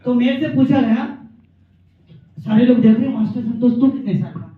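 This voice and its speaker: A man's voice: very high-pitched, fairly even intonation, brisk. A man giving a playful, mock-lecturing humorous speech to colleagues, repeating phrases for comic effect. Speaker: training participant performing a humorous recap. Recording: distant, echoing hall recording, slightly muffled.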